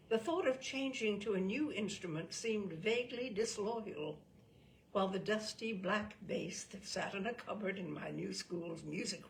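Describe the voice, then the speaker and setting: Expressive, slow-paced woman storyteller. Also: medium pitch, creaky, a little hiss or hum, low-quality recording. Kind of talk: expressive literary story reading.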